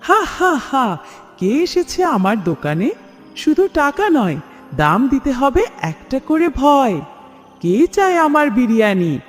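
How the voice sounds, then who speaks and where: Man putting on a high, cackling, menacing cartoon witch. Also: very animated, heavily acted. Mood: menacing, luring. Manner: taunting, theatrical, drawn-out laughs. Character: menacing cackling witch who runs a magical biryani shop. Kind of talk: children's cartoon voice acting. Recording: long reverb, music behind.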